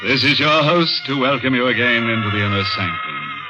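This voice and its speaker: An actor playing a macabre, mock-genial horror host in 1950s radio style, sardonic and theatrical, delighting in grisly wordplay. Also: male voice, medium pitch, big pitch swings, brisk, close to an everyday voice. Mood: ghoulish glee. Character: ghoulish, macabre male horror host, gleefully punning. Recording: dull old radio recording, loud music.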